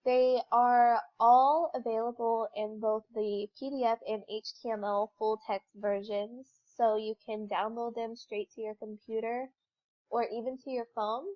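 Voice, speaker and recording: A woman's voice: fairly high pitch, fairly even intonation, measured pace, lo-fi sound. A young woman with a congested, stuffy voice, talking through a slideshow presentation in an informal, explanatory way with some fillers. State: congested from a cold. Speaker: student presenter.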